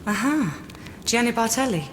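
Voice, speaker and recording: A woman's voice: fairly high pitch, expressive, moderate pace, long reverb tail, a little hiss or hum, natural voice. An actress playing a cool, wry British woman adventurer in a video game, speaking with dry poise. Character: cool, wry, self-possessed female adventurer. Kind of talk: video game cutscene acting.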